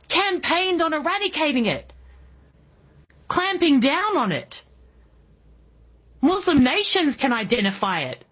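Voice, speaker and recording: A woman in her early forties with an Australian accent, hosting political talk radio in an emphatic monologue full of rhetorical questions, with a husky voice. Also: high voice, fairly bright tone, muffled recording. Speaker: guest radio host.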